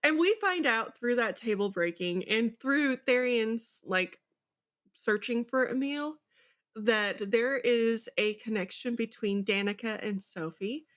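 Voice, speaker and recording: A woman's voice: on the high side, slow, fairly bright tone. Woman co-host casually talking books. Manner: speculative, thinking aloud with rhetorical check-ins. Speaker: podcast co-host. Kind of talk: casual book discussion.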